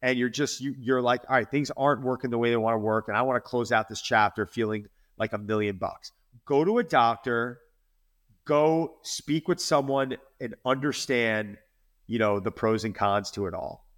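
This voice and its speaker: A man's voice: mid-range voice, normal speed, a bit bright. A man in his forties, a fitness trainer, speaking earnestly in a podcast interview and often repeating words as he makes his point. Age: 40s.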